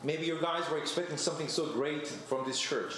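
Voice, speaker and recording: A man's voice: a little high, a little fast, background noise. A young man giving a chatty sermon as preacher, telling stories and laughing at himself. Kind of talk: conversational sermon. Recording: echoey church room.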